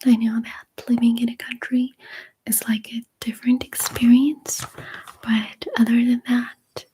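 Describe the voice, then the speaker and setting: A young woman with a Russian accent, whispering softly and hesitantly as she answers viewer questions. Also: on the high side, flat delivery, unhurried, noisy background. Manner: soft unvoiced whispering, hesitant with fillers. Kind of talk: whispered ASMR Q&A.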